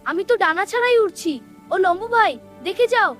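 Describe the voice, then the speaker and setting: A woman voicing a small, timid, excitable ghost in a cartoon. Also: extremely high pitch, brisk, soft background music. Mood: excited.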